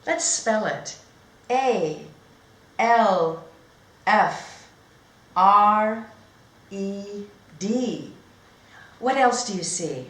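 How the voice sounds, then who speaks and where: A woman teacher reading a storybook aloud as a lesson for young learners, speaking in simple, deliberate phrases with pauses and questions to her listeners. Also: lowish voice, fairly bright tone. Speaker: teacher demonstrating read-aloud techniques. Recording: echoey room with clear background noise.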